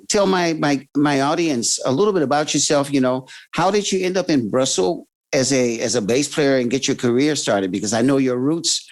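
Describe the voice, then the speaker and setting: A man hosting a podcast, chatting casually and kindly with a guest, often restarting his sentences. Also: mid-range voice. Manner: friendly, halting, restarts often. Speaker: podcast host. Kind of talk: casual interview chat.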